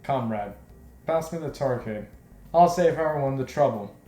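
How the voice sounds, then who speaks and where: A man's voice: mid-range voice, animated, moderate pace, warm tone, echoey room, soft background music, close to an everyday voice. Man voicing a melodramatic Soviet tank girl comrade. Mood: grim, exasperated. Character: gloomy dramatic female Soviet tank comrade, newspaper chief.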